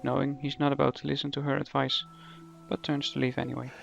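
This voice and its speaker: Male narrator for audio drama, heavy vocal fry, somewhat high pitch, dull lo-fi recording with prominent music behind. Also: monotone, fast talker. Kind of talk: scripted narration of a radio play. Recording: dull lo-fi sound under loud music.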